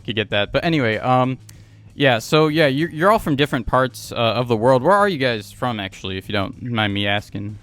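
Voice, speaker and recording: A man's voice: medium pitch, fairly quick, fairly bright tone, soft background music. A man hosting a podcast interview over a video call, easygoing and filling gaps with "yeah" and "like". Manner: easygoing, lots of fillers like "yeah" and "like". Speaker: podcast host. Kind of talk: casual interview.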